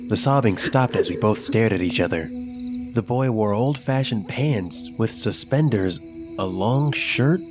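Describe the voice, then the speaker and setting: An imaginative kid telling a halloween story, lowish voice, super animated, music under it. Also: male voice. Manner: expressive storytelling. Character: adventurous, imaginative, kind child telling a Halloween tale. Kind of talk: scripted first-person narration for children.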